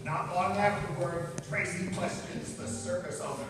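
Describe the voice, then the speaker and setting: A man's voice: high-pitched, steady tone, moderate pace, heavy background noise, lightly acted. A man playing a radio serial announcer, narrating between scenes in old-time style. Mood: dramatic. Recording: echoey hall, far from mic. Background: loud music.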